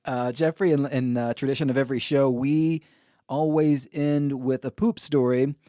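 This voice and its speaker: A man's voice: mid-range voice, fairly even intonation, moderate pace, warm tone, no room sound. Laid-back male podcast host, dry recording. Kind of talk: casual chat.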